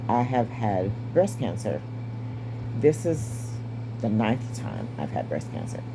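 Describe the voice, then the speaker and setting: A woman in her fifties with a raspy, strained voice and short breath, giving a candid personal update. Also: very deep voice, sing-song, slow pace, noisy background. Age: 50s. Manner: candid, wry, stays positive. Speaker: vlogger. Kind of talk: casual personal update to camera.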